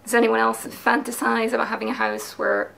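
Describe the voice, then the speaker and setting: A woman's voice: a little high, little pitch movement, brisk, gravelly, a bit bright. A woman in her thirties presenting her knitting patterns in a chatty, enthusiastic way, explaining techniques step by step, seated at a craft table in a small home studio. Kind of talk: casual explanatory video talk.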